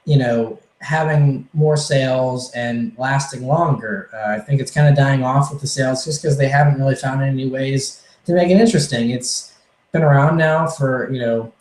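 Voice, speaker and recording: A man answering viewer questions on a casual livestream, rambling and relaxed with many fillers, his voice a little hoarse. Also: medium pitch, normal speed, echoey room, low-quality recording. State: slightly hoarse, losing his voice.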